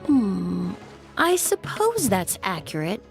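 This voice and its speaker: A woman's voice: mid-range voice, huge pitch swings, slow, vocal fry, music in the background, heavily acted. An actress playing a polite, composed young woman perfumer in a video game, speaking formally and knowledgeably. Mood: courteous. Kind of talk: video game character acting.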